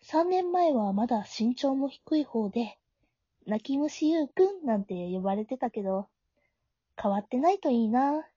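A high-pitched, mellow high school girl, acted by a woman in an amateur audio drama. She is caring and easily flustered, moving between inner narration and dialogue. The sound is very dry and slightly dull, like a home recording. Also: sing-song, moderate pace, breathy edge, dry recording, heavily acted. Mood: expectant.

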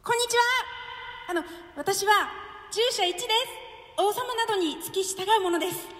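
A woman's voice: extremely high pitch, normal speed, fairly bright tone, reverberant, long reverb tail, voice pushed up. A woman playing a polite, eager royal attendant in a comic radio play, introducing herself emphatically. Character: bright, courteous royal attendant from classic theatre. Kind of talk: comic radio-theatre character acting.

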